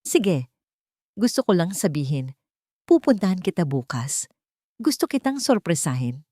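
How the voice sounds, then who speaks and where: A woman's voice: lowish voice, very animated, a little slow, warm tone, no echo, clean studio sound. A woman voicing a betrayed young woman in an audio drama, hard and bitter with restrained anger toward her fiancé. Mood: forced sweetness, bitter.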